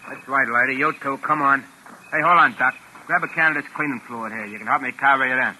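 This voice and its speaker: An actor playing a tough, none-too-bright American gangster's henchman in a 1940s radio drama. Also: mid-range voice, fast talker. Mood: barking orders. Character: tough male gangster henchman, gruff, bossy, dim underling. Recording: noisy old 1940s radio recording.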